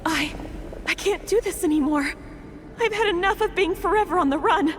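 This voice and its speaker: Game role: tired, sardonic young woman, high register, complaining delivery, echoing hall sound. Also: moderate pace, noisy background. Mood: despairing. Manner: weary, complaining. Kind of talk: dramatic video game voice acting.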